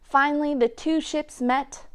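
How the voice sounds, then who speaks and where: A woman reading a rhyming picture book aloud for children in an expressive, dramatic storytelling manner. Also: sits high, unhurried. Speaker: library storytime reader.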